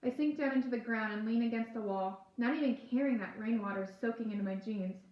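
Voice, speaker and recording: A woman's voice: fairly high pitch, quick pace, dull audio. A woman reading a first-person novel aloud as narrator. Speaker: book reader. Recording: echoey room.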